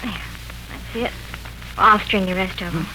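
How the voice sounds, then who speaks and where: A woman's voice: mid-range voice, steady tone, unhurried, background noise, close to an everyday voice. An actress playing an apologetic, uneasy young woman in a family drama, in 1940s radio style.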